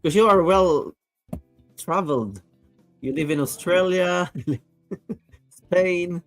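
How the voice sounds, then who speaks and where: A man hosting a live-stream interview over video call, animated and quick to laugh. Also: high voice, faint music behind. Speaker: host.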